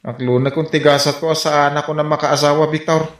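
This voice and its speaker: An actor playing a lovesick, exasperated son with a Filipino accent in a comedy radio drama, whiny and theatrical. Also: male voice, on the high side, little pitch movement, a little fast, close to an everyday voice. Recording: roomy echo, faint music.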